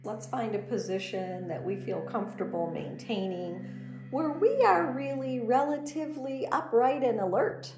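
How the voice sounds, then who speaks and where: A woman leading a guided meditation as a teacher, speaking in a gentle, soothing, unhurried instructional manner. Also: fairly high pitch, lively intonation. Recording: roomy echo under background music. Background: meditation music.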